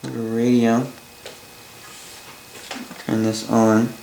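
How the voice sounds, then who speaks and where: A Canadian man casually demonstrating gear in an unscripted, halting way, thinking aloud as he goes. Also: medium pitch, level tone, creaky, mellow. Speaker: hobbyist presenter demonstrating a vintage boombox. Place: indoor room at a workbench by a window. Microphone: camera mic a short distance away. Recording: roomy, with noise and clicks.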